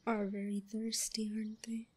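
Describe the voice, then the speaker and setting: A young woman voicing a shy, giggly, obsessive schoolgirl stalker in a roleplay audio drama, breathy and halting. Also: on the high side, monotone, a little mellow. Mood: obsessed, hushed.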